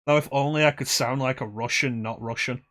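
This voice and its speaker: A man's voice: medium pitch, fairly even intonation, brisk, fairly bright tone. A young man hosting a game stream, chatting casually in a rambling, self-deprecating way. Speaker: streamer host. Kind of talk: casual chat while gaming.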